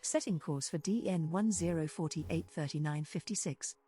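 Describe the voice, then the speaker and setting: A woman's voice: low-pitched, moderate pace, mellow, breathy edge. A woman voicing a composed spaceship computer co-pilot, giving precise, formal status announcements for a game. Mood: composed, informative. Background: music behind the voice.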